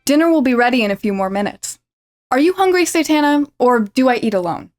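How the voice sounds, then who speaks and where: A woman's voice: sits high, animated, brisk, soft background music. An actress playing a chatty, sardonic woman in her late twenties in an audio drama. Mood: mildly impatient. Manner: wry, chatty.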